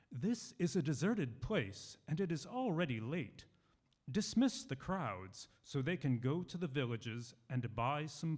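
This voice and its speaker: A man reading scripture aloud at a church service, with deliberate, expressive phrasing. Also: medium pitch. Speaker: lay scripture reader.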